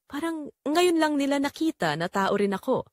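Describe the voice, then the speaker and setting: A woman's voice: sits high, big pitch swings, normal speed, no echo, clean studio sound. A woman playing a weary, neglected mother who tells her own story in an emotional, pleading audio drama narration. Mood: sorrowful. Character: Marites, weary, neglected, long-suffering Filipino mother.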